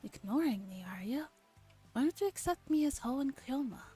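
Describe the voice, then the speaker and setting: A woman's voice: sits high, expressive, normal speed, mellow, pitched above the performer's own voice. Theatrical, indignant young mad scientist from a visual novel, acted by a woman, over faint music. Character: self-styled mad scientist, dramatic young man, indignant. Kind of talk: visual novel read-aloud. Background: background music.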